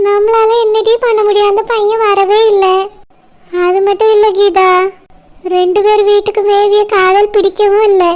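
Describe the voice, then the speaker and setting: Very high-voiced woman voicing a village cartoon. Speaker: cartoon narrator and voice actor.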